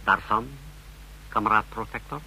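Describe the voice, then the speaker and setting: An actor playing an eager male officer reporting to his superior in a vintage radio drama, brisk and deferential. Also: lowish voice, fairly even intonation, bright, forceful tone, slight background noise, poor audio. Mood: scheming, deferential.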